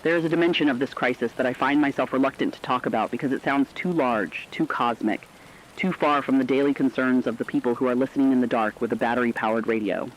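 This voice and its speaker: A man reading a scripted, reflective monologue as a radio host, solemn, earnest and deliberate. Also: mid-range voice, a little hiss or hum. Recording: dull, band-limited radio-like sound.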